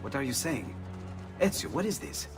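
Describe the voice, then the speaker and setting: An actor playing an excitable, clever Italian inventor in a video game, eager and urgent, with an Italian accent. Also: male voice, mid-range voice, normal speed, far from the mic, faint music behind. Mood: eager, puzzled. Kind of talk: video game cutscene acting.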